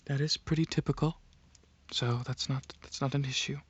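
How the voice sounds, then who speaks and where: A man performing soft-spoken ASMR roleplay as a calm alien dragon examiner, hesitant and clinical, with a breathy voice that slips into whispers. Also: mid-range voice, dull audio. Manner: hesitant, pausing, clinical questioning.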